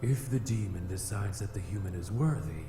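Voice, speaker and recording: Man voicing a deep, echoing, haughty fire demon. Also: normal speed, lightly acted. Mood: aloof, explanatory. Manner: formal, stiff, haughty diction. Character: solemn male fire demon, vengeful, aloof, easily flustered. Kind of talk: visual novel character acting. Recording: echoey reverb with music behind.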